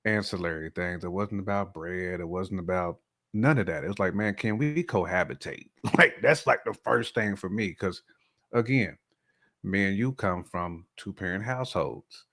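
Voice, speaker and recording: A man's voice: deep voice, normal speed, a bit bright. A man talking casually as a podcast guest, in a colloquial, slangy way with frequent check-in phrases. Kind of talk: casual chat, telling personal views.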